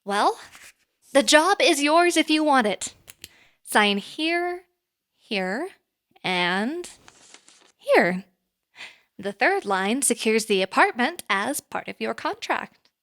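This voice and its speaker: A woman's voice: high voice, very animated, takes it slowly, long pauses, a bit bright, heavily acted. A woman playing a composed, businesslike boss in an audio drama, with formal, dry phrasing. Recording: faint music behind.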